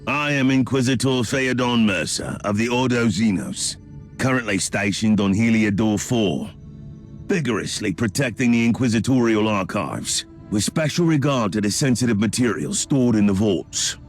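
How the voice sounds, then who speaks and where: An actor playing a self-assured, scheming Inquisitor in a video game, with a formal, wordy and wryly theatrical delivery. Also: male voice, on the low side, animated, moderate pace, soft background music, lightly acted. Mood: pompous, measured. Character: smooth-talking, wry male Inquisitor agent, self-assured schemer. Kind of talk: video game cutscene acting.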